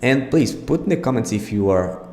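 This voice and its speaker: A man's voice: medium pitch, expressive, moderate pace, darkish tone, a little hiss or hum. A man giving an informal pronunciation lesson as host, speaking in an encouraging, reassuring manner. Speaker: pronunciation teacher and show host. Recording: echoey room with long reverb.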